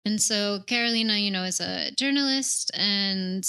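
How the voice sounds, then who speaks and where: A young woman, a native English speaker, hosts a relaxed interview in a clear, smooth voice with a bright tone. She talks in a chatty, musing way, leaning on little asides while she thinks aloud. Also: medium pitch, normal speed. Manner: chatty and reflective, with many "like" and "you know" asides. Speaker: interviewer host. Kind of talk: casual interview chat.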